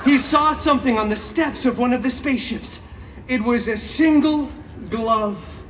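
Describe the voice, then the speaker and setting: A man's voice: very high-pitched, a little slow, fairly bright tone. A man playing a chaotic, cheeky, vain masked antihero who tells a story theatrically to a live audience with sassy, mock-sweet asides. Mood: theatrical, gossipy. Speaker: theme park stage show performer. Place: theme park stage, recorded from the audience. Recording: amplified live show, distant, crowd noise.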